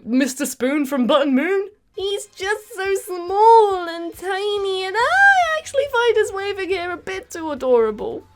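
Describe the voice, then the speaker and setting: A British woman giving chatty, comedic video game commentary in an expressive, playfully exasperated and mildly sweary way. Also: high-pitched. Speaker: video game reviewer and channel host. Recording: music behind the voice. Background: background music.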